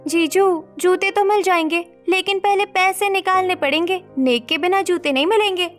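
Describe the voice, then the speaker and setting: A woman's voice: very high voice, moderate pace, soft background music. A woman voicing a mischievous young girl in a cartoon story, with a playful, cajoling manner. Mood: teasing. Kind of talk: Hindi cartoon story acting.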